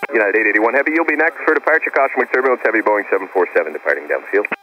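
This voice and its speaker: Rapid-fire male tower controller on radio. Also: on the low side, animated. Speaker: tower air traffic controller. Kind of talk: radio instructions. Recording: dull, faintly noisy tower radio.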